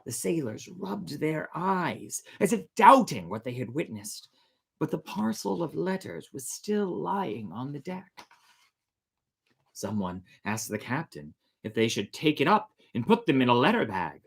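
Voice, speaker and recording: A man reading a story aloud as a dramatic, deliberate and expressive storyteller. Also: fairly high pitch, gravelly, breathy edge. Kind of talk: dramatic scripted storybook narration.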